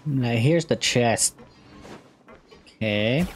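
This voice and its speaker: A gamer commentates casually on a playthrough at an unhurried pace. The delivery is playful and reactive, talking back to the game, with faint music behind. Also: mid-range voice. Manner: playful, exclamatory, talks to the game. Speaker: game streamer commentating on play.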